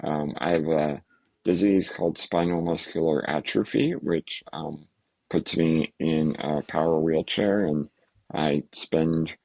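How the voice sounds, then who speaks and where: A man, a podcast guest, speaking casually and haltingly with many fillers, restarts and soft laughs. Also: rumbling low, fairly even intonation, vocal fry, no room sound, highs cut off. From United States. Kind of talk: casual interview talk.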